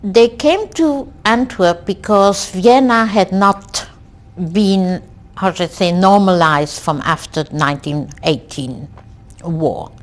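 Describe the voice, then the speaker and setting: A woman in her sixties from Belgium, recalling her family in an oral history interview, slow and reflective with frequent hesitations. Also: mid-range voice. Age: 60s. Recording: archival 1990s interview tape.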